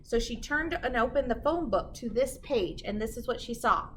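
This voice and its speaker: A woman's voice: a little high, animated, moderate pace, a bit bright, slight background noise. A woman reading a children's storybook aloud like a teacher, with dramatic emphasis and chatty asides to her listeners.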